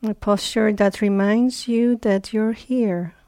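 A woman teaching guided meditation, speaking in short, unhurried phrases with long pauses between them. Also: medium pitch, steady tone, mellow, no echo, studio quality.

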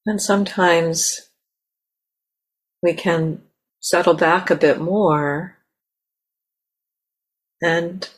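A woman leading a guided meditation as a teacher, speaking gently and haltingly in short, softly inviting phrases. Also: fairly low pitch, steady tone, husky, poor audio. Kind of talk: guided meditation, unscripted and spoken in pauses. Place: meditation center talk.

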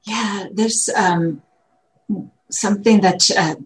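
A woman dharma teacher speaking in an online class, scholarly and animated as she talks about a text she loves. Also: medium pitch, slow pace, a little hiss or hum, low-quality recording. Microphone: video call.

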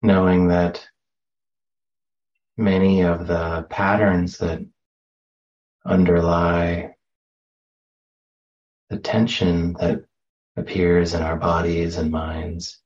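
A man with a deep voice guides a meditation very slowly and gently. He leaves long, unhurried pauses between short phrases. The recording is a little dull. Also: flat delivery. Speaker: meditation teacher. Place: meditation center.

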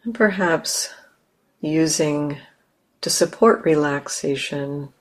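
A woman teacher leading a guided meditation in a gentle, unhurried manner, leaving long pauses between phrases. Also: sits low.

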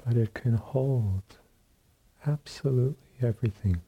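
A man leading a guided meditation as a teacher, speaking calmly in short phrases with long pauses and a soft, husky voice. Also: mid-range voice, muffled recording. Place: meditation retreat room.

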